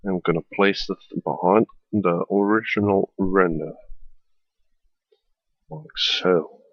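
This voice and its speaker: A man from the Netherlands with a Dutch accent, hesitantly narrating a software tutorial as a voiceover with many fillers. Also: deep voice, takes it slowly, creaky, slightly rough, no echo, highs cut off. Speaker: tutorial maker. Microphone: voiceover at a computer.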